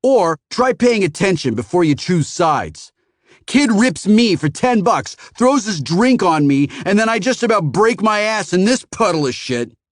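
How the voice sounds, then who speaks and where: A sneering, foul-mouthed bully barks insults in a raised, loud voice, as a male narrator puts him on. The recording is dry and close, with no room sound. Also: high voice, sing-song, unhurried, no room sound, voice pushed up. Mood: indignant. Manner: sneering, abusive shouting. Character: rude, foul-mouthed adult man in cowboy boots.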